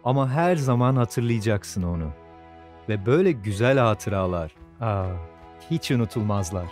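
A tender young father played by a man speaks in a soft, somewhat low voice. He is thoughtful and comforting. Gentle music runs behind the children's cartoon dub. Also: animated, moderate pace, close to an everyday voice. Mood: tender, consoling. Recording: studio dub over background music.

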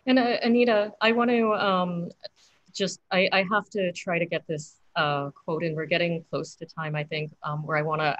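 A woman's voice: low-pitched, dry recording, highs cut off. A woman moderating a webinar panel over a video call, hesitant with many fillers as she brings in questions.

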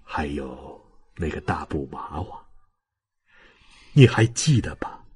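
A man performing an audiobook as a frail, dying old father, tender and humble, with halting, sentimental delivery and a husky, breathy voice. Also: rumbling low, sing-song, darkish tone, exaggerated voice. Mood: wistful, tender. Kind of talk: dramatic audiobook reading of a classic novel.

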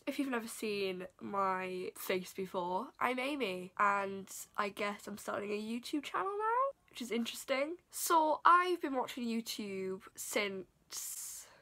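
Bright bubbly young Welsh woman. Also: mid-range voice, animated, takes it slowly. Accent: South Wales. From Wales. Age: 20s. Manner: bubbly, chatty and self-deprecating. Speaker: vlogger. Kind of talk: casual chatty vlog. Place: small bedroom indoors. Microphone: camera at desk distance.

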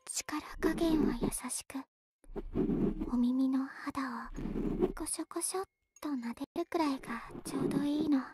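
Gentle, high whispering ear-cleaning girl. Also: unhurried, heavy background noise. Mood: gentle, instructive. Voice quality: soft breathy whisper. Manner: gentle, patient, instructive. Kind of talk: Japanese ASMR roleplay.

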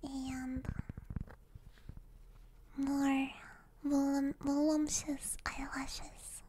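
A young woman, a native Russian speaker, chatting softly and hesitantly in a gentle, playful ASMR livestream style, often dropping into a whisper, close on the microphone. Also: high-pitched, level tone, very slow, a little hiss or hum. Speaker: ASMR streamer. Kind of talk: soft ASMR livestream chat. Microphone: close binaural microphone.

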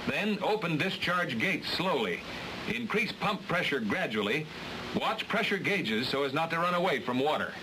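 A man narrates a training film in a steady, matter-of-fact way, his voice a little high and moving lively up and down. The sound comes from an old film transfer, with plain hiss underneath. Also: normal speed. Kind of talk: scripted instructional narration.

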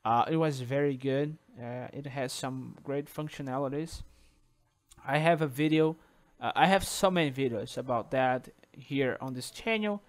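A man whose first language is Portuguese, talking through a coding tutorial over a screen recording, halting with restarts and checking in with "okay?". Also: medium pitch. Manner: halting, many restarts and "uh", tags sentences with "okay?". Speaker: programming tutor.

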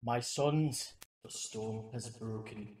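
A man playing a wise, solemn old mutant rat master, narrating gravely and poetically in a comic dub. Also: on the low side, slow pace, darkish tone, poor audio.

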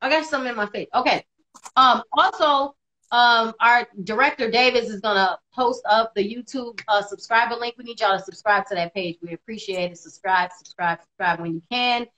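Lady in her 30s hosting a live stream from home, bright sharp voice, lots of laughing. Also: fairly high pitch, normal speed, rough recording. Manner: animated, laughs often, bright delivery. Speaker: co-host. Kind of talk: casual live-stream chat. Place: indoors at home. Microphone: phone or laptop camera on video call.

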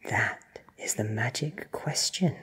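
A cold, commanding ancient vampire as a narrator plays the part, menacing and deliberate. The voice is breathy, half-whispered and slow, in the manner of a gothic audiobook. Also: medium pitch, lively intonation, a bit bright, exaggerated voice. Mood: cold menace.

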